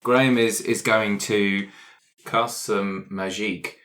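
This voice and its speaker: Low-voiced man at a game table. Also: fairly even intonation, slow pace, a bit bright. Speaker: player in a tabletop roleplaying game. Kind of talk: improvised roleplay and casual table talk.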